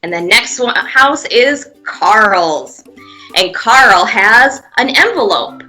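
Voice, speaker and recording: A woman's voice: fairly high pitch, expressive, takes it slowly, bright, forceful tone, faint music behind, poor audio. A woman guiding young children through pretend play, giving simple encouraging step-by-step prompts with playful sound effects. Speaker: children's museum presenter leading a pantomime activity.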